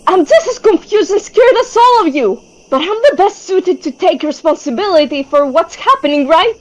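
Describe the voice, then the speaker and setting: A woman's voice: very high voice, expressive, normal speed. A woman playing an anxious, driven young female leader in a webtoon fan dub, speaking with earnest, emphatic intensity. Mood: desperate, upset.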